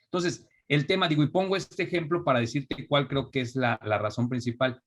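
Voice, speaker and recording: A young adult man from Mexico with a Mexican accent, speaking as an interview guest in a relaxed, reflective way with frequent restarts. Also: medium pitch, brisk. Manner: thoughtful, restarts often, reflective. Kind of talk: conversational interview.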